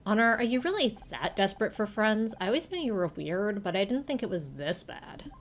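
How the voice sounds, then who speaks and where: A woman's voice: medium pitch, very animated, a little fast, a little hiss or hum, lightly acted. A woman voicing a vain young TV actress in a video game, scornful, whiny and dramatic. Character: vain, snooty young TV actress, spiteful and self-important.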